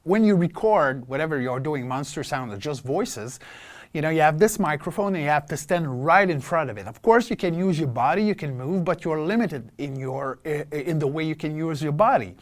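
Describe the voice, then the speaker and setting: Male voice performer: slightly high voice, enthusiastic, emphatic, casual explanatory interview. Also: lively intonation, brisk. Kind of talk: casual behind-the-scenes explanation.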